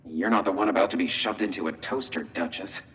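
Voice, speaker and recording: A low-pitched, sardonic man with theatrical self-importance , played by a voice actor in a video game. His lines ring with long reverb over a low rumbling noise. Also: fairly quick, a bit bright, natural voice. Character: wry, self-important man facing transfer into a machine. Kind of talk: video game dialogue acting.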